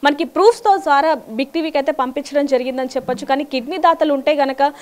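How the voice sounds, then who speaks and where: A woman's voice: high voice, fast talker. A woman reporting live news from the field in a wordy, emphatic way.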